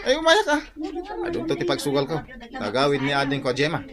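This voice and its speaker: A man's voice: medium pitch, wildly swooping pitch, fairly quick, a crowd in the background. A man playing a boastful gambling brother with an Ilocano accent in a comedic radio drama. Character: boastful gambling brother expecting money from his sister.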